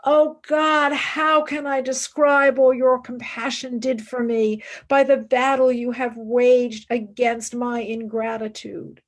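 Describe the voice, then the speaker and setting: Woman acting devout female mystic, high voice, very slow delivery, intense and reverent, formal radio play declamation. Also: little pitch movement. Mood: ecstatic, intense. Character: fervent, devout woman philosopher turned ecstatic mystic.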